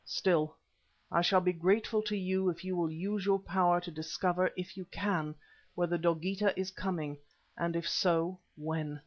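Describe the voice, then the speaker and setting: A man voicing a seasoned hunter, humble, formal and measured, in an audiobook adventure story. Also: high voice, fairly even intonation, natural voice. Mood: measured, respectful. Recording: slightly dull, lo-fi audio.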